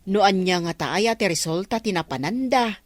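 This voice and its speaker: A woman's voice: mid-range voice, animated, moderate pace, lightly acted. An actress playing a village woman in a radio comedy, bringing worried news to the captain. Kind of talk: radio comedy drama acting.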